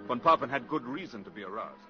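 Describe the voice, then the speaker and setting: Muffled radio spy-story narrator. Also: male voice, mid-range voice, expressive, quick pace, pushed and bright. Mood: composed narration. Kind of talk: 1950s radio drama narration. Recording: muffled 1950s radio broadcast. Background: music.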